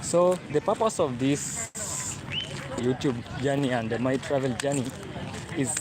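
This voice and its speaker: Kenyan male travel vlogger, young, mid-pitched, chatty and repetitive, filmed on a phone with clear street noise behind. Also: a little slow. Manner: chatty, repeats himself, talks to viewers. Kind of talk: walking livestream vlog. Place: outdoors on a city street.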